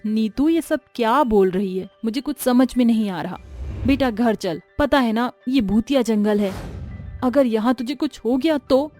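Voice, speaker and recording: A poor, worried village mother from a moral storybook tale, played by a woman. Her voice is high and her manner anxious and questioning, with light music behind it. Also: measured pace, dark tone, close to an everyday voice. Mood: doubtful, worried.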